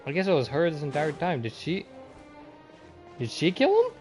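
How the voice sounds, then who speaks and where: Animated high-pitched man reacting to a game. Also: moderate pace, soft background music. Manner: reactive, profane, often confused. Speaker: video game livestreamer. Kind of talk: casual live game commentary.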